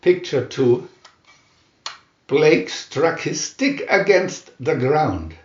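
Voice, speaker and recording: A man reading a scripted adventure story aloud in a dramatic, deliberate narrator's manner. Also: a little high, lively intonation, darkish tone, roomy sound, muffled recording. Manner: dramatic, deliberate comic-adventure reading with announced picture numbers. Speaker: narrator and reader.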